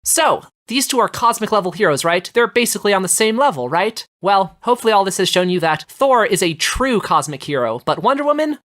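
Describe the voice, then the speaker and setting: A woman's voice: medium pitch, very animated, fairly quick, few pauses, a bit bright. A woman arguing a case on a comedy debate podcast, playful and mock-emphatic, often amused by her own points. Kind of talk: comedic debate argument, prepared but conversational.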